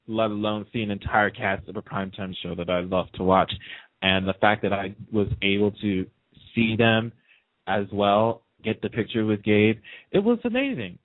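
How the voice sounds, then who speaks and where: A man who works as a radio host, chatting casually and telling fan anecdotes in an excited, dramatic way. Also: lowish voice, no room sound, narrow, tinny audio.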